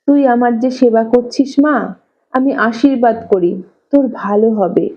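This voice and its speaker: Woman acting a plaintive, self-pitying mother, high voice. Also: a little slow, mellow, lo-fi sound, lightly acted. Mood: tender, grateful. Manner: plaintive, martyred.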